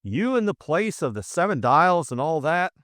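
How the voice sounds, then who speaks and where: A man's voice: a little high, very animated, normal speed, fairly bright tone, no echo, clean studio sound, pitched above the performer's own voice. A man voicing an anxious, earnest upper-class young man in an audiobook drama, hesitant and full of old-fashioned exclamations. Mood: worried, earnest.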